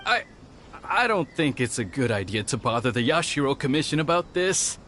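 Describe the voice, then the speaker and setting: A man's voice: mid-range voice, big pitch swings, normal speed, fairly bright tone, slight background noise. A man playing an earnest, dutiful and anxious young retainer in a video game, formal and hesitant in manner. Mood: worried, subdued. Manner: formal, hesitant, self-reproaching.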